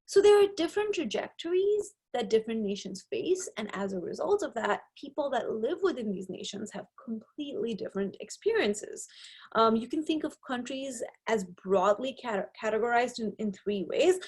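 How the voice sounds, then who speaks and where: A woman's voice: fairly high pitch, animated, moderate pace, darkish tone, breathy edge. A young woman from Nepal, with English as her second language, explaining sociology concepts in a recorded slide lecture and often checking in with "right?". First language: not English. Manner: explanatory, frequent tag "right?". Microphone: webcam on a video lecture.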